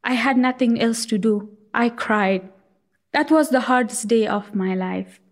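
A young woman from Afghanistan telling her own life story in an earnest, halting way, with frequent fillers. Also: fairly high pitch. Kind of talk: personal storytelling.

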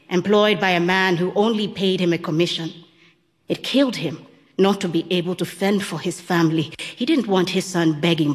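A woman reading a first-person literary story aloud in a calm, measured way. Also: on the low side. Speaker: audiobook narrator. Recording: long reverb on the voice.

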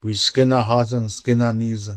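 A man's voice: mid-range voice, little pitch movement, moderate pace, darkish tone, dry recording. A man from northeast India with an Indian accent, sharing personal memories as an interviewee. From Manipur, India. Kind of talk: personal reminiscence, conversational.

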